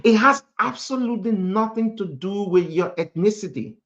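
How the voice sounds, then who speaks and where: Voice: mid-range voice, normal speed, fairly bright tone, dull audio. A speaker from Africa preaching a motivational sermon in an emphatic manner, repeating phrases and posing rhetorical questions.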